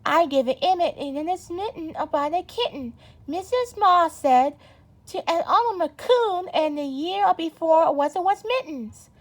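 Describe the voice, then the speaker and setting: A woman reading a children's story aloud as an amateur, haltingly and stumbling over words. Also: very high voice, expressive. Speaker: storybook reader.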